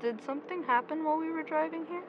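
A woman's voice: high voice, fast, close to an everyday voice. A woman acting an uneasy, well-meaning road-trip companion in an audio drama, speaking hesitantly. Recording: muffled, vehicle noise behind.

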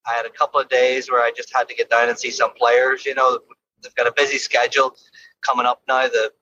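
A man's voice: medium pitch, level tone, a little fast, pushed and bright, highs cut off, a little hiss or hum. A man with an Irish accent, a golf coach, chatting easily from his car in an interview. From Ireland. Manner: easygoing, laughs often, repeats words. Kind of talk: casual interview chat from a car.

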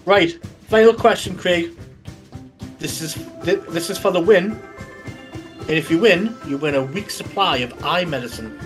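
A man's voice: on the high side, expressive, a little slow, faint music behind. A man hosting a comedy quiz with playful, theatrical delivery. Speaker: quizmaster host.

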